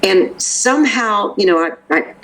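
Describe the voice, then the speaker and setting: A woman's voice: mid-range voice, lively intonation, measured pace, a bit bright, poor audio. An older woman from the Memphis area, a vocal coach talking casually in an interview, rambling with restarts and fillers.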